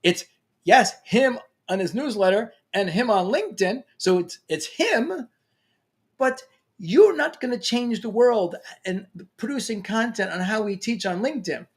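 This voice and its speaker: Lively New York man giving a pep talk. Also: high-pitched, unhurried. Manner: energetic, emphatic, playful. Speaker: LinkedIn coach. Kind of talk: motivational talk to camera.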